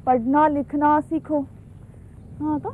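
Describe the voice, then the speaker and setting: A woman's voice: high-pitched, little pitch movement, takes it slowly, slight background noise. A woman playing an earnest young village outreach worker who is questioning and easily frustrated. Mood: puzzled, frustrated. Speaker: actor in an educational training film. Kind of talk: acted film dialogue. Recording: muffled soundtrack with faint music.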